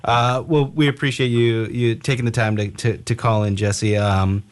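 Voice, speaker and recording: Mid-pitched man casually hosting radio. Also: fairly even intonation, normal speed, clear, resonant tone. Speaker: radio show host.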